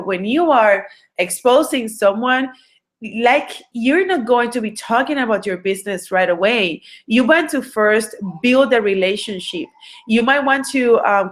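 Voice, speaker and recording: A woman's voice: medium pitch, a bit bright. A woman from Puerto Rico with a Puerto Rican Spanish accent, Spanish her first language, giving an online recruiting training in an earnest way, with many fillers and restarts. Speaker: recruiting coach.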